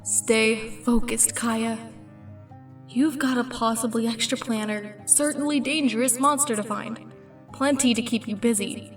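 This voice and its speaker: A woman's voice: fairly high pitch, steady tone, a little slow, recorded from a distance, faint music behind, close to an everyday voice. A woman playing a wry, self-assured monster hunter in a fantasy audio story, muttering dryly to herself. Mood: self-coaching.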